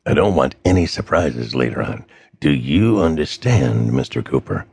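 A man voicing a cold, menacing male villain with a gravelly voice who threatens slowly. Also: extremely low pitch, animated, darkish tone, no room sound, studio quality, pitched below the performer's own voice, full character voice.

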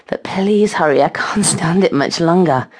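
A woman's voice: fairly low pitch, slightly rough. A woman voicing an impatient, aroused woman in an audiobook, gasping and moaning as she pleads. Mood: impatient pleading. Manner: gasping, moaning, drawn-out words.

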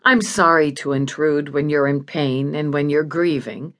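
A woman voicing a calm, probing female investigator in audiobook dialogue, polite and keeping her temper. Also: low voice, moderate pace. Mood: polite, careful. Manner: polite, even, persistent.

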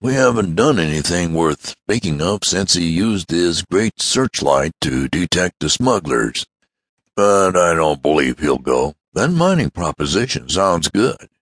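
Man doing a fussy excitable old gent, dry audiobook. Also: deep voice, a little slow, breathy edge, no echo, pitched above the performer's own voice, heavily acted. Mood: eager. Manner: exclamatory, fussy. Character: odd eager older gentleman, excitable.

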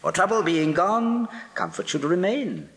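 An actor playing a gracious older gentleman and father, courtly and flowery in Shakespearean stage style. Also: high-pitched, lively intonation, a little slow, gravelly, big-room sound, full character voice. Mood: courteous, teasing. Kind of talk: theatrical Shakespearean stage acting.

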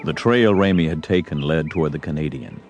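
A man's voice: rumbling low, brisk. A man narrating a Western story as a scripted audio drama. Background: music.

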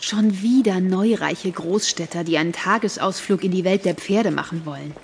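A woman narrating a radio play in a calm storytelling manner. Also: fairly low pitch, normal speed, vocal fry, a little hiss or hum.

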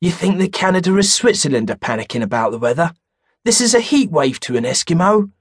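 A man's voice: fairly high pitch, sing-song, brisk, slightly rough, dry recording, clean studio sound, pitched above the performer's own voice. A male narrator voicing a grumpy elderly male pub regular, grumbling and scornful, with a wheezy edge.

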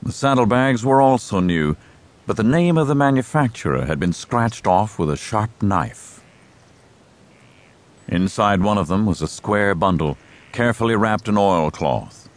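A man narrating a dramatized western audiobook in a measured storytelling style. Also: fairly low pitch, animated.

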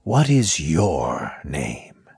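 A man voicing a large, sly boa constrictor in a children's story, speaking slowly and with amusement, at times in a whispery tone. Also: extremely low pitch, wildly swooping pitch, gravelly, a little mellow, husky, dry recording, studio quality, exaggerated voice. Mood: calm, amused. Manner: slow, drawn-out, sometimes whispery speech. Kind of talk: children's audiobook character.

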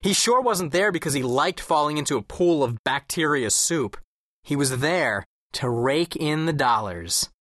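A man's voice: a little high, lively intonation, a little slow, a bit bright, no echo, clean studio sound. An actor playing an observant, wry teenage boy who tells his own story as scripted audiobook narration. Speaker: first-person audiobook narrator.

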